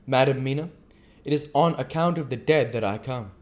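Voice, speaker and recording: A man's voice: medium pitch, a little fast, pitched above the performer's own voice, close to an everyday voice. A man voicing a courtly, effusively polite older foreign doctor in an audiobook, with earnest, stilted phrasing.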